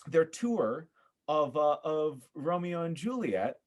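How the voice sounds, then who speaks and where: A man speaking as a podcast guest in a casual interview, earnest and effusive, often pausing to think. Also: on the high side, very animated. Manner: earnest, effusive, halting with thoughtful pauses. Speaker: podcast guest, theater performer.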